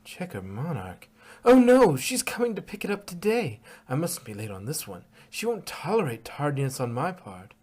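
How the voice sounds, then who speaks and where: A man's voice: a little high, wildly swooping pitch, moderate pace, a little mellow. A man voicing a refined, fretful female cartoon unicorn dressmaker with a genteel, dramatic manner.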